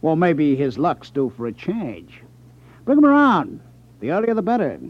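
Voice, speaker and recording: An actor in a 1950s radio drama playing a smug, menacing gambling boss who talks in a condescending, sardonic way. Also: male voice, fairly high pitch, huge pitch swings, normal speed. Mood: tough, sardonic. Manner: condescending, sardonic, tough talk. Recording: dull 1950s radio broadcast recording.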